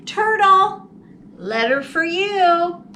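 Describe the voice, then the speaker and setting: Woman as a shy old storybook mailman, high singsong. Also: expressive, very slow, sharp tone, exaggerated voice. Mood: singsong, polite. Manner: singsong calling out, terse, polite. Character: lonely, shy old mailman, kindly and few-worded. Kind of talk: storybook character voice. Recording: distant mic, faint background noise.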